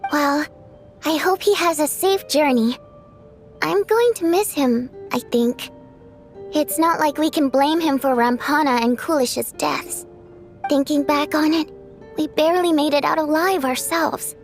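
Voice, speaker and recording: Game casting: a kind little girl, frail yet plucky, high unhurried voice over faint music. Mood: subdued. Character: kind, frank young girl, sickly but brave.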